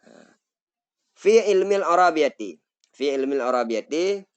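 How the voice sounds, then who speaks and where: A man's voice: a little high, animated, clear, resonant tone, highs cut off. Acehnese man teaching from an Arabic text. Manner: reads Arabic phrases slowly, then glosses them in Malay-Indonesian. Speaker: religious teacher. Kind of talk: kitab study lesson, reading Arabic text and explaining.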